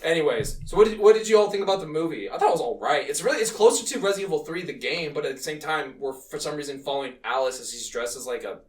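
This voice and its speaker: Podcast host, young man: high, animated voice, excitable foul-mouthed ranting about movies, recorded roomy and off the mic. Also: quick pace, hardly any pauses, recorded from a distance. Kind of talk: casual film-review banter.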